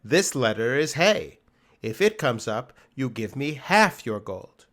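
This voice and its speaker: A man's voice: medium pitch, big pitch swings, slow pace, a bit bright. A man playing a wily, unflappable trickster in a dramatic storybook reading, teasing and casual with mock innocence. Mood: sly, coaxing.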